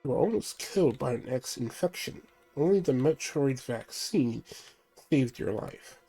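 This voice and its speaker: A man reading out a stern male ship computer giving mission orders, measured and plain, his voice stuffy from a cold. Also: mid-range voice, warm tone, breathy edge, rough recording. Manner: reads briefing text aloud, measured.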